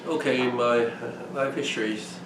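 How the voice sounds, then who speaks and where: A man's voice: mid-range voice, fairly even intonation, normal speed, fairly bright tone. An older man from Hong Kong, whose first language is Fujianese, recalling his early life in an oral history interview, with many restarts and repeated words. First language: Fujianese (Minnan). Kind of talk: casual recollection. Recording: echoey room, mic at a distance, faint noise.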